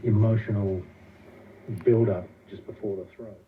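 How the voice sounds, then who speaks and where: A man's voice: lowish voice, steady tone, moderate pace, background noise. A man explaining things conversationally as an expert guest in a radio interview, heard muffled and distant through radio playback.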